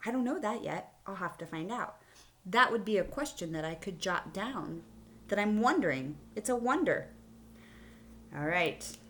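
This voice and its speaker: A woman's voice: mid-range voice, fairly bright tone. A woman teacher giving a read-aloud lesson to children, explaining instructively and thinking aloud with questions to her listeners. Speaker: elementary school teacher. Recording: clearly audible hum and background noise.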